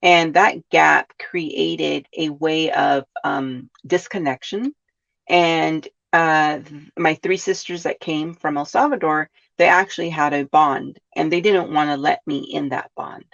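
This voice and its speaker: A woman's voice: low voice, measured pace, creaky, fairly bright tone. A woman from California hosting a reflective self-help podcast, often asking her listeners questions and adding "right?". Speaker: podcast host. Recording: dull lo-fi sound.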